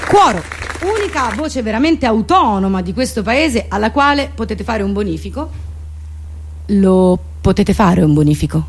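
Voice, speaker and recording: A woman with a Roman accent performing a theatrical comic monologue, with deadpan, mock-solemn irony and colloquial turns. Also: mid-range voice, moderate pace, a little hiss or hum. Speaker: comedian, actress performing her own monologue.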